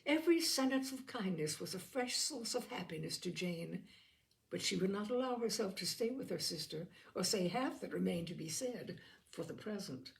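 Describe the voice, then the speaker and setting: Female audiobook narrator for classic fiction, noticeably creaky tone, expressive delivery. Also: mid-range voice, moderate pace, poor audio. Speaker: audiobook reader. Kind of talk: scripted literary narration, reading a classic novel aloud.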